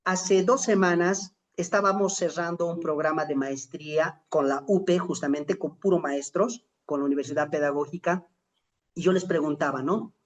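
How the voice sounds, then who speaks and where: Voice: medium pitch, fairly even intonation, moderate pace, lo-fi sound. A middle-aged lecturer with a Bolivian accent giving a reflective, motivational class to fellow teachers, emphatic, stretching out key phrases and posing rhetorical questions. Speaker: lecturer in an online teacher-training course.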